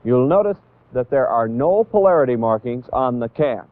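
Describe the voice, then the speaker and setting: An American man narrating a 1960s instructional training film as an instructor, in a deliberate, didactic manner that stresses key points. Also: medium pitch, animated, no echo. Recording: tinny, band-limited 1960s training film audio.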